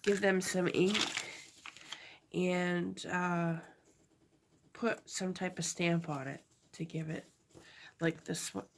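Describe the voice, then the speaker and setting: Slow, stuffed-up woman crafting. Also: lowish voice, steady tone, creaky, a little hiss or hum. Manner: halting, chatty, many fillers and self-corrections. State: stuffed up from a cold. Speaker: crafter demonstrating a project. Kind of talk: casual craft tutorial.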